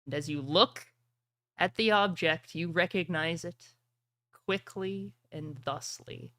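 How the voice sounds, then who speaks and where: Woman dm doing dramatic fantasy narration, crisp bright voice, very dry sound. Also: fairly low pitch, takes it slowly, dry recording. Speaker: game master. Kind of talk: narrating a fantasy tabletop roleplaying game.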